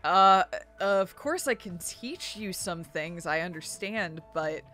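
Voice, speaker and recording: A woman's voice: lowish voice, lively intonation, slow pace, sharp tone, soft background music, heavily acted. A woman voicing a modest, hesitant and baffled teenage boy in a visual novel game. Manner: plain, hesitant, understated.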